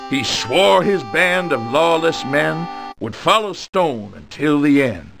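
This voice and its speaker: Western game balladeer, folksy, rhyming, dramatic, grim storyteller, very animated pitch, country music behind. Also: male voice, slow, vocal fry, heavily acted. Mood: ominous, folksy. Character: western balladeer telling a grim gold-rush legend.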